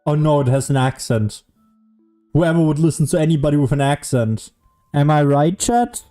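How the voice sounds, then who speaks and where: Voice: medium pitch, animated, moderate pace, mellow. A streamer giving casual, playful and sarcastic commentary while playing a video game. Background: game music.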